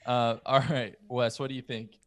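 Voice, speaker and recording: A man's voice: medium pitch, flat delivery, normal speed, a bit bright, dry recording. Man hosting a casual roundtable, dry close sound. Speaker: podcast host. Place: home room, indoors. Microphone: close podcast mic on video call.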